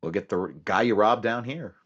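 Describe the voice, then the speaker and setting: An actor playing a calm police detective who narrates his case in a terse, matter-of-fact radio drama style. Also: fairly low pitch, brisk, no echo, highs cut off.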